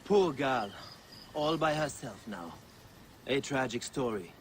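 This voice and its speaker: A sympathetic temple priest, mournful in tone. His pitch is medium and his delivery is dramatic game acting, with slight ambient sound behind. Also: lively intonation, slow pace, slight background noise. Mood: sorrowful, pitying.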